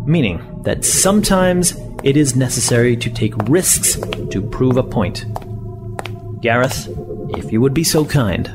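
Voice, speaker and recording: An actor playing a sinister, courteous boss of a covert operation who philosophizes deliberately in a sci-fi audio drama. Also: male voice, mid-range voice, animated, music in the background, close to an everyday voice. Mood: calmly menacing.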